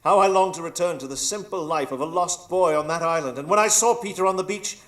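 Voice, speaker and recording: A man's voice: on the high side, fast, fairly bright tone, natural voice. A man as a grandiose, scheming old pirate villain. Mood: wounded, aggrieved. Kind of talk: live radio drama acting.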